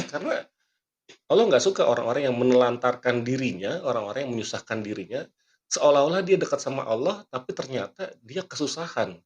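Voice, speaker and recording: Throat-clearing man giving a motivational talk. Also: mid-range voice, lively intonation, fairly quick, a bit bright. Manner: preachy, earnest, quotes scripture and sayings. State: coughing, not fully well. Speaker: magician and motivational speaker. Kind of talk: motivational podcast talk with a magic trick demo.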